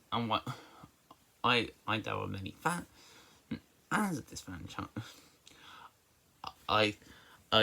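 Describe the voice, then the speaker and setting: A man giving an informal spoken movie review as an amateur critic, haltingly, often stammering and repeating or restarting his words. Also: mid-range voice, sharp tone.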